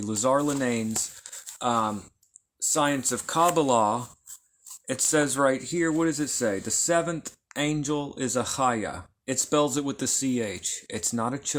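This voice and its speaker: A man giving an informal lecture on esoteric lore, explaining carefully and checking in with his listeners. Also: medium pitch. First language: not Hebrew. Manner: unhurried, explains by repeating and checking with "okay?". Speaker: esoteric teacher.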